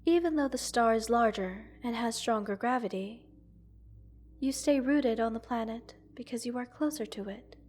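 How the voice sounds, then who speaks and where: A woman voicing a gentle, curious alien scientist in an ASMR roleplay, speaking formally, precisely and reassuringly to the listener. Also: fairly high pitch, normal speed, natural voice. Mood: patient explaining. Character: gentle, curious multi-world alien scientist studying a captive human.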